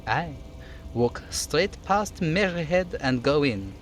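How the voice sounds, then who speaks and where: A man's voice: a little high, animated, slow, pitched above the performer's own voice. Guy doing a chatty union dockworker game character, easygoing n teasing, voice lifted up, bit of noise. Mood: breezy. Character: jolly, chatty striking union man, easygoing and sly.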